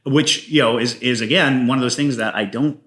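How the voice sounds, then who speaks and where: A man's voice: mid-range voice, brisk, fairly bright tone. A man talking casually and at length as an interview guest, a wordy storyteller who explains his creative work. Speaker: guest playwright and podcaster.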